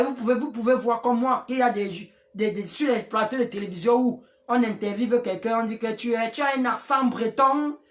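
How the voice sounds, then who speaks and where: A speaker, giving a rambling, preachy live-stream address to followers, with many restarts. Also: medium pitch. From Africa.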